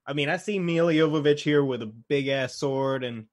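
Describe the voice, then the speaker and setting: A man's voice: on the high side, moderate pace. A man co-hosting a casual podcast, blunt in his opinions and given to swearing. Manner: blunt, profane. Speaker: podcast co-host. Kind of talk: casual chat about film and game adaptations.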